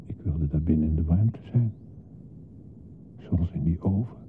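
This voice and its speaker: An actor playing a lonely, disturbed man who longs to be a baby, in a hesitant, confiding, often near-whispered radio play monologue. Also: measured pace. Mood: uneasy confiding. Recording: muffled lo-fi recording with hiss and rumble.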